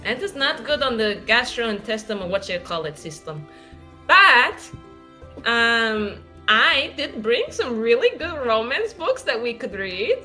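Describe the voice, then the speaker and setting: Woman playing a bright, swooping, chatty flirt. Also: a little high, sing-song, measured pace, faint music behind, exaggerated voice. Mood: excited, proud. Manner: gushing, cheeky, rambling.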